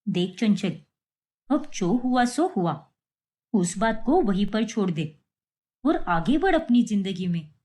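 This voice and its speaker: Woman as a concerned young female friend, exasperated, pleading, animated, cartoon storybook dialogue. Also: mid-range voice, slow pace, pauses often. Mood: scolding.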